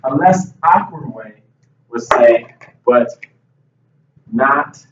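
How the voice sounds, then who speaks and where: Slow, patient male English teacher, roomy. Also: medium pitch, lively intonation, a bit bright, slight background noise. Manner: slow, patient explaining, frequent "okay". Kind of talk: whiteboard grammar lesson. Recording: roomy, distant, dull classroom audio.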